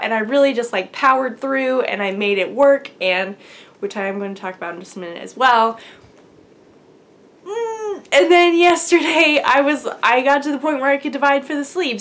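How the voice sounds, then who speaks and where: A woman's voice: sits high, a bit bright. Congested young woman chatting. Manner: chatty, upbeat storytelling. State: congested from allergies. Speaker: podcast host. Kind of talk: casual crafting chat to camera.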